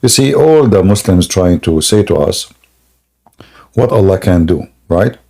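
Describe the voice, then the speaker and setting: A man hosting a live debate stream in a scoffing, jokey way, laughing often and clearing a sore throat. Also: sits low, lively intonation, unhurried, dark tone. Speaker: livestream debate host. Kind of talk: mocking live debate chat.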